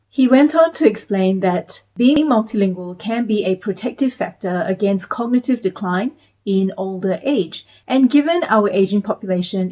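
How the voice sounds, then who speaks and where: A woman in her thirties from Malaysia, talking to camera in a measured, explanatory way as a parenting vlogger. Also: mid-range voice, a little mellow. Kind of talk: scripted talk to camera.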